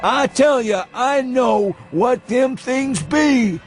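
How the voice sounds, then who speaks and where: An actor playing a frightened, rambling old drunkard with a folksy rural New England accent in a radio horror reading. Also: male voice, slow, faint music behind. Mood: fearful, ominous. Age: older. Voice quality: shrill when agitated. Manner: rambling folksy speech, badgering and insistent.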